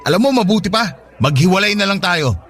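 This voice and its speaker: A man's voice: sits high, animated, brisk, fairly bright tone, faint music behind. A man playing an irritable, evasive boyfriend in an audio drama, defensive and exasperated. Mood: defensive, irritated. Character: irritable, evasive young boyfriend making excuses.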